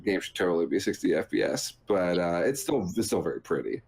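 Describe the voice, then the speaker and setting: Low-pitched guy chatting about games. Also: big pitch swings, a little fast, gravelly, darkish tone, a little hiss or hum. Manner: jokey and rambling. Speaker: podcast co-host reviewing video games. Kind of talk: casual chat.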